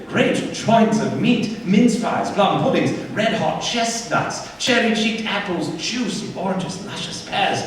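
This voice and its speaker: A man playing the narrator of a Victorian ghost tale in a radio play, expressive and deliberate in his storytelling. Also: sits high, exaggerated voice. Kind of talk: scripted theatrical narration. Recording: distant echoey hall, faint noise.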